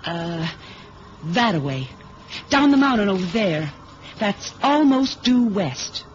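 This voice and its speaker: A dull, faintly noisy radio drama recording carries a medium-pitched female voice. It is a vulnerable young woman on a secret mission. She sounds earnest and tentative. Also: big pitch swings, takes it slowly. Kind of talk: 1970s radio drama acting.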